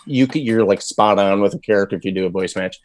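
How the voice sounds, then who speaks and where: Male voice actor guest, low-pitched, dry sound, easygoing rambling talk. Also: fast, darkish tone, no echo. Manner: rambling, thoughtful, many fillers and restarts. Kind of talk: casual interview chat.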